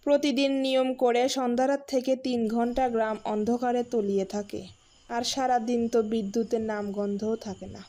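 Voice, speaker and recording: A woman playing a stern but caring middle-aged village mother in a cartoon drama, brisk and guarded as she gives instructions, with music behind her. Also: on the high side, flat delivery, dark tone, close to an everyday voice. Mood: matter-of-fact.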